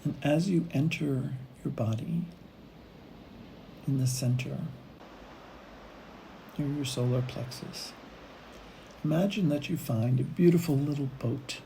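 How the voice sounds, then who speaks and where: A woman leading a guided meditation, speaking in unhurried phrases with long pauses between them. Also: deep voice, gravelly, warm tone, slight background noise, low-quality recording. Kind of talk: guided meditation, gentle imagery narration.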